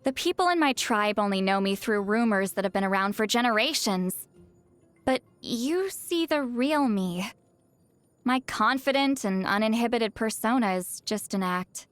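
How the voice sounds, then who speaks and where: A woman voicing a video game seer, youthful-sounding, proud but easily flustered and secretly awkward, in an expressive, haughty and defensive manner. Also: a little high, normal speed, no room sound, faint music behind, close to an everyday voice. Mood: earnest, reserved. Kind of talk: video game character voice lines.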